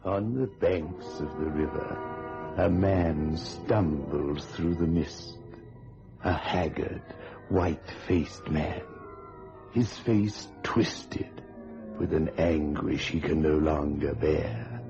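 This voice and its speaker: A man's voice: rumbling low, slow pace, vocal fry, full character voice. A man giving solemn, ominous scripted narration in an old radio thriller. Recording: dull vintage radio recording. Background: music.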